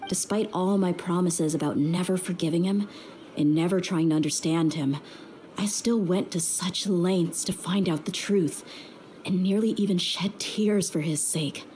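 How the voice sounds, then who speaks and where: A woman's voice: on the low side, measured pace, mellow, a little hiss or hum, natural voice. A woman playing a tough, candid young desert mercenary in a video game, speaking frankly and reflectively. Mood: bitter, reflective.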